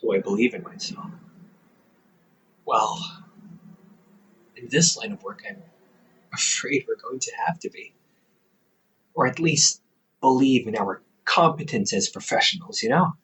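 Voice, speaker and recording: A man performs an older brother in an intimate audio roleplay, a male scholar who teases gently and reassures. His delivery is unhurried and halting, with pitch that moves freely up and down. There is faint noise behind him on a hobby microphone recording. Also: animated, rough recording. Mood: reassuring, reflective. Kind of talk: intimate audio roleplay, one-sided conversation.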